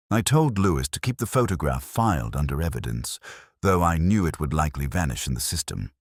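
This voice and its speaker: Guy playing a brooding older detective telling his case, super deep voice, really expressive pitch, measured and reflective, radio drama style. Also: husky, no echo, clean studio sound, full character voice. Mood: somber. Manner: measured, literary, brooding delivery. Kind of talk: scripted first-person audio drama narration.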